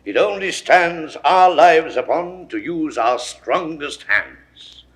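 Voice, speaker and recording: An actor playing a proud, confident, scornful Roman rebel leader in a 1960s Shakespeare audio drama, with emphatic oratorical delivery. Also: male voice, a little high, animated, slow, fairly bright tone. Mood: resolute. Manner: oratorical, emphatic declaimed verse.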